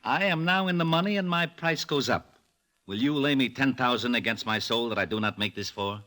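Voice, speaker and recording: An actor playing a cocky, hard-bargaining dice gambler, with taunting, oddly formal gangster phrasing in old radio drama style. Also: male voice, mid-range voice, fairly quick, pushed and bright, natural voice. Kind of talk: 1940s-50s radio drama acting.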